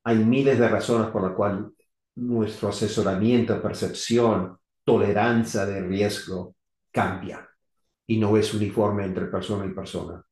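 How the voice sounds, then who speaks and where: A middle-aged man from Argentina, now living in the US, giving an unscripted technical talk on electrical safety in halting, rusty Spanish full of restarts and fillers. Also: on the low side, fairly even intonation, a little mellow. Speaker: electrical safety engineer presenting. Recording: echoey room.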